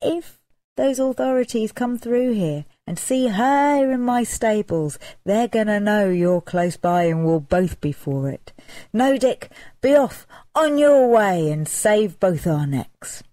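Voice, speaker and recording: A woman's voice: medium pitch, expressive, slow pace, warm tone, no echo. Woman acting a wary, firm pub landlord. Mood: firm, worried. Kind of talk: storybook character dialogue.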